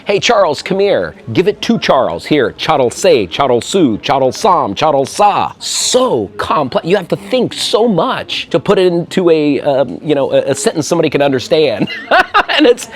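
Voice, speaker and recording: A man's voice: fairly high pitch, big pitch swings, moderate pace. A middle-aged man from the American Midwest with a Midwestern accent, talking casually in an interview, chatty and self-deprecating, laughing often. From Midwestern USA. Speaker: interview guest.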